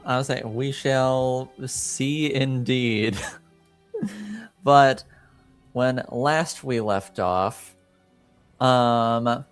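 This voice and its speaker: A man narrating a casual recap as game master of a tabletop game, haltingly searching for words. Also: medium pitch, clear, resonant tone, soft background music.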